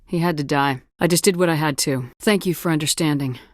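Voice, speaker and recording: A woman's voice: deep voice, brisk, no echo, studio quality, natural voice. A woman playing a tough, decisive space soldier in a video game, speaking with firm, clipped authority. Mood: businesslike.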